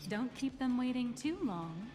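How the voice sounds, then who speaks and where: A corporate guide from a video game, composed, formal and guarded. Her voice sits a little high. The game audio carries a long reverb tail. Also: lively intonation, unhurried, mellow, soft background music. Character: polished corporate woman tour guide.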